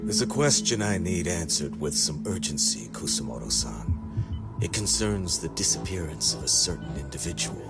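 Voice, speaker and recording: An actor playing a middle-aged government security official in a game cutscene, polite and formal with quiet insinuation. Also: male voice, deep voice, sing-song, moderate pace. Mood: veiled menace. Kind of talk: video game cutscene acting. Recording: dramatic music behind the voice. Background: music.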